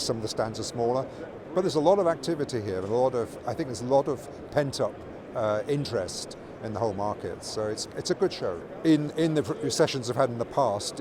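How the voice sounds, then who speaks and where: A middle-aged or older man giving an informal interview as a company product executive, explaining things with repeated words and restarted phrases. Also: mid-range voice. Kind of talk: informal trade show interview. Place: busy trade show exhibition hall. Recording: loud trade show floor noise. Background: clear ambient show floor noise.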